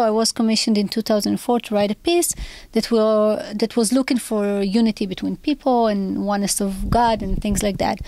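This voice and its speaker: A woman's voice: medium pitch, warm tone, no room sound. Israeli-born woman composer, dry sound. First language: Hebrew. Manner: thoughtful, searching for words with many fillers. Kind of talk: interview answers.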